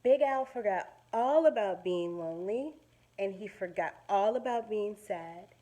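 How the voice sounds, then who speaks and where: A woman teacher reading a children's story aloud in an expressive, dramatic way, pausing to explain words simply to young listeners. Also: mid-range voice.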